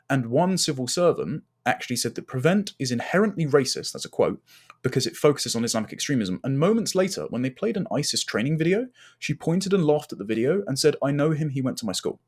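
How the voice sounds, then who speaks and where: A man with a mid-pitched voice gives political commentary as a podcast guest. He is articulate and emphatic, stacking point upon point as he builds an argument. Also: big pitch swings, fast talker.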